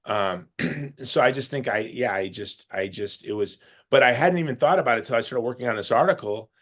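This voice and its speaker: Guest voice: middle-aged man from the Chicago suburbs, animated, rambling storyteller with lots of self-corrections. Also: medium pitch, a little fast. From Chicago south suburbs. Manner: animated, loose storytelling with frequent restarts. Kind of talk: casual conversation.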